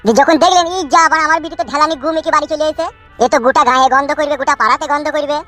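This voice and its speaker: A cheeky, foul-mouthed cartoon villager with a rural West Bengal accent. The voice is high and very fast, argumentative and brash, over background music. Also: steady tone, sharp tone. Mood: indignant. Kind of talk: comic cartoon dialogue.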